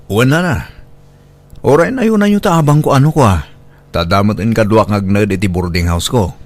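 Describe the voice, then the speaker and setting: A male actor as a humble, devoted young working man in a radio drama. His voice is on the low side, with an Ilocano accent and a deferential, earnest manner. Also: lively intonation, moderate pace, natural voice. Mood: apologetic.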